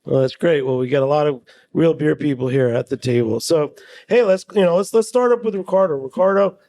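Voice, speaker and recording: Gravelly, upbeat radio host man. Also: fairly high pitch, brisk, dark tone, no echo. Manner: upbeat, enthusiastic. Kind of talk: on-site radio interview.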